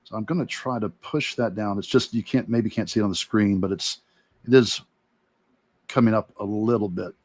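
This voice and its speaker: A man's voice: on the low side, moderate pace, no echo. A man giving a casual instructional demonstration as an illustrator, thinking aloud in loose, broken asides as he works. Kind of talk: casual instructional talk while working.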